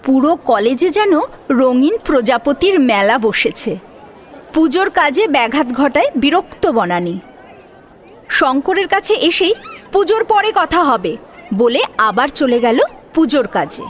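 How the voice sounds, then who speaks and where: A woman's voice: high voice, sing-song, a little slow, some chatter behind, slight background noise. A woman reading a scripted story as an audio drama narrator. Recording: music behind the voice. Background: instrumental music.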